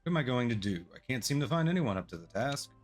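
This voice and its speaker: A man's voice: mid-range voice, very animated, fast talker, faint music behind. A man reading the part of a busy, businesslike travel agency boss from a fantasy video game. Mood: concerned.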